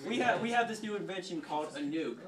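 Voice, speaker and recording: A young man with a fairly high voice holds forth in a cocky, halting storytelling style. He is flippant and informal. The mic sits at a distance and other people are clearly chattering around him. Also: animated, crowd noise. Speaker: storyteller host playing a cadet gunnery sergeant. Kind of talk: informal history storytelling to a small group. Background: several people chattering and interjecting.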